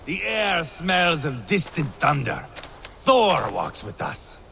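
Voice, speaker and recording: Bloodthirsty Viking raider, gravelly, forceful, theatrical game delivery, brash and menacing. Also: male voice, on the high side, big pitch swings, slow pace, slight background noise, full character voice. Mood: gleeful, confident. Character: bloodthirsty Viking warrior man, brash, gleefully savage. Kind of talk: dramatic video game acting.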